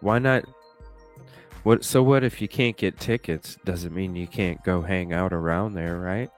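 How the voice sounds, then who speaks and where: Male streamer with a very deep, stuffy voice, chatty and loose, faint music under him. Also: measured pace. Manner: chatty, thinks aloud, swears casually. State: stuffy nose. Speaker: live streamer. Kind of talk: casual chat reacting to a video. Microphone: desk microphone with webcam.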